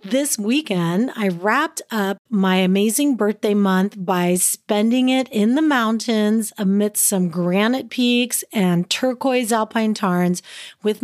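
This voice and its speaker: A woman hosting her own podcast, talking alone in an upbeat, chatty way, with a slightly raspy voice and frequent laughs. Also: medium pitch, no echo, clean studio sound. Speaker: podcast host. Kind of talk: solo podcast monologue.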